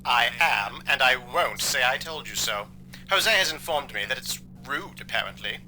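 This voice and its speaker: An actor playing a haughty, theatrical possessing spirit in an audio drama, speaking in formal, wordy, boastful phrases. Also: male voice, mid-range voice, animated, moderate pace, bright, forceful tone, a little hiss or hum, low-quality recording. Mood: smug.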